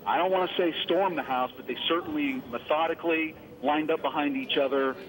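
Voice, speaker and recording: A man's voice: a little high, fairly quick, rough recording. An American man giving a live eyewitness report as a field reporter over the phone.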